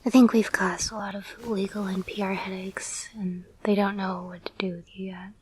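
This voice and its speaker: A woman playing an affectionate, playful girlfriend and caretaker in an intimate roleplay audio, speaking in a soft, breathy whisper with a gentle, hesitant murmur. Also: mid-range voice, level tone, moderate pace, a little hiss or hum, close to an everyday voice. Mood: reassuring, pleased.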